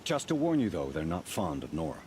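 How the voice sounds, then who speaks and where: Very deep-voiced hunting keeper. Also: male voice, big pitch swings, a little fast, a little mellow, slight background noise. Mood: confiding. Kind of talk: video game voice acting.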